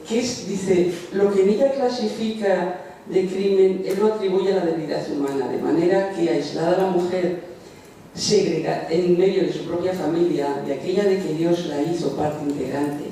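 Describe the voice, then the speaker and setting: A woman's voice: sits low, warm tone, slight background noise. An older woman in the audience offers a hesitant, thinking-aloud academic comment in a lecture hall. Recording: distant, very echoey lecture hall.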